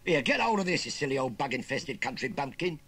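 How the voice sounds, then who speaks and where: A man voicing a bullying, cocky young scarecrow boy with a Cockney accent, sneering and bossy, in a husky storybook voice. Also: sits high, wildly swooping pitch, fairly quick, voice pushed up, heavily acted.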